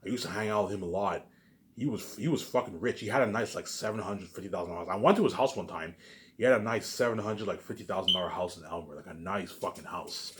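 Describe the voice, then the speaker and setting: Fast-talking man in a rambling, boastful rant. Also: low voice, slight background noise. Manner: repetitive, restarting phrases over and over; profane, boastful ranting with sudden loud bursts. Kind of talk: rambling spoken monologue to online viewers.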